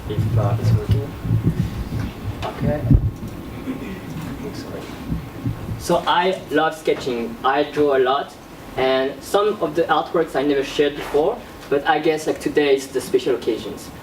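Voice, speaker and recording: A young man gives a casual talk about his own artwork, pausing and restarting often. His voice sits a little high. The room is echoey and he is picked up from across it, with a faint hum behind him. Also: recorded from a distance. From born in South Korea, raised in France. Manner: halting, many fillers and restarts. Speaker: visual artist presenting his work. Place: classroom with projector screen. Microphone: far camera at back of room. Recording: roomy echo from across the room.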